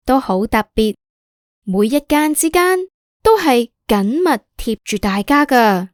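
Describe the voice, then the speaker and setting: A woman's voice: a little high, no echo, studio quality. A woman with a Hong Kong accent narrating a scripted children's story in a simple, explanatory way.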